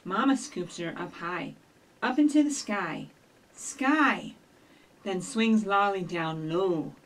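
A woman's voice: medium pitch, lively intonation, slow, a little hiss or hum. A middle-aged woman presenting a storytime for young children, addressing them directly and encouragingly as she talks and reads aloud. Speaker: children's librarian, storytime host. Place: small indoor office with shelves. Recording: distant microphone in a room.

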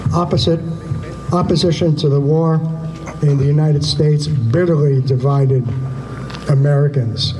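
Docent type, male, halting delivery, slightly raised pitch, noisy hangar recording. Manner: slow, halting with many fillers and restarts. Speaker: museum docent giving a hangar talk. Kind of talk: reading prepared notes with asides. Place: aircraft hangar. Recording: noisy hangar with vehicle sounds.

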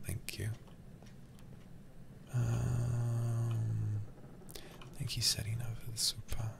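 A man streaming a game ASMR-style into a close microphone, speaking softly and intimately with frequent whispering, in an affectionate, languid manner. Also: medium pitch, completely flat delivery, extremely slow, noisy background. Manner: breathy, often whispered, intimate; affectionate, doting toward viewers. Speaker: livestreamer.

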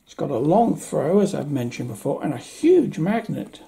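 A man in his seventies giving a casual, unscripted review with wry understatement, in a husky voice. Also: on the high side, sing-song, normal speed, dark tone. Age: 70s. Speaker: audio equipment reviewer. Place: indoor room at home.

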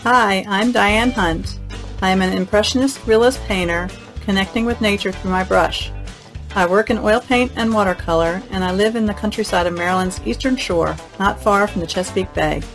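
A woman painter, a regular on an artists' podcast, speaking softly and hesitantly on a video call. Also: mid-range voice, moderate pace, soft background music. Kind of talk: casual video-call chat.

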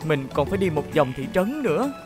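A woman's voice: low-pitched, very animated, a little fast, loud music behind the voice. A woman voicing an earnest, heroic young police-car robot who leads and reassures in a children's cartoon dub. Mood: calm, friendly. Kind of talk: Vietnamese-dubbed children's cartoon.

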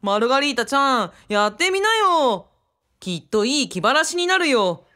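A woman's voice: high voice, very animated, moderate pace, bright, forceful tone, heavily acted. A woman playing a curious, supportive young man in a radio drama, casual and encouraging.

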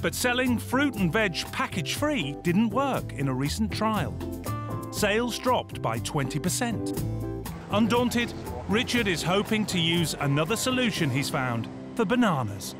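A man's voice: high voice, very animated, unhurried. A man narrating a documentary from a script in a wry, playful manner. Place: studio voice-over. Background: prominent music.

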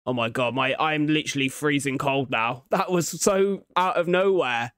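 A man's voice: a little high, moderate pace, a bit bright, clean tone, no room sound. A young man giving casual reaction commentary in slangy, sweary language, recorded close on a desk microphone at home. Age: young adult. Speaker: reaction video host. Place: small room at home, indoors. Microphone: large desk microphone, close up.

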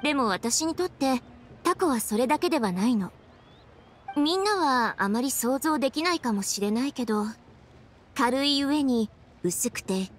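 An actress playing a thoughtful young woman official in an anime game, composed and articulate as she explains things. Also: fairly high pitch. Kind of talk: anime video game voice acting.

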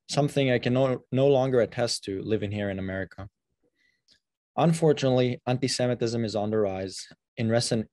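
A man's voice: on the low side, warm tone, dry recording, clean studio sound. A young man from Israel, a student leader, giving an earnest prepared speech with small restarts, recorded indoors on a video call. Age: young adult. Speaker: student president. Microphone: webcam on a video call.

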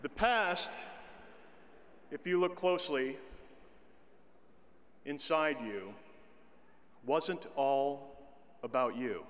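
A middle-aged man delivering a commencement address at a podium, speaking in a measured way with slow, deliberate emphasis. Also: medium pitch, a bit bright. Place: large indoor arena stage at a podium. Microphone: podium microphone. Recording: long arena reverb.

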